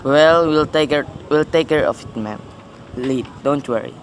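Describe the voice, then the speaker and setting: A man's voice: on the high side, measured pace. A man playing a weary, dismissive police sergeant in a radio play, matter-of-fact and patronising. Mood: placating. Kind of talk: radio play dramatic acting. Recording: noticeable background noise.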